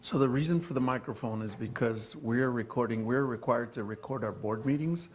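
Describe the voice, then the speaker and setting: A man's voice: mid-range voice, brisk, a little hiss or hum. A man, a school district official, introducing a presentation at a board meeting. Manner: relaxed, plain, with fillers. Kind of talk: formal meeting remarks.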